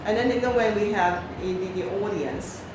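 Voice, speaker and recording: A woman giving interview answers as a drama teacher, speaking haltingly and repeating words. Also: medium pitch. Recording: distant, echoey, noisy room recording.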